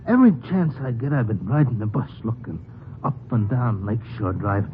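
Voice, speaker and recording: An actor playing a desperate, unhinged ex-convict who stammers, pleads and rambles in a 1950s radio drama. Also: male voice, medium pitch, sing-song, brisk, close to an everyday voice. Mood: frantic, pleading. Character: desperate, unhinged older ex-convict haunted by lost money. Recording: muffled, noisy 1950s radio broadcast.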